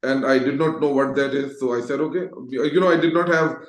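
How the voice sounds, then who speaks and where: A roomy, echoing recording of a middle-aged man with a Pakistani accent. His pitch is average, and he talks conversationally as a guest sharing personal stories. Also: fairly quick. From Lahore, Pakistan. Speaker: interview guest, Toastmaster educator. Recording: echoey, roomy voice sound.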